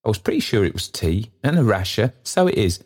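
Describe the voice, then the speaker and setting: A man voicing an excitable, kind-hearted old male porter who bustles about breathlessly and keeps repeating himself. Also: on the low side, a little fast, voice pushed up. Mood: fussy, sly.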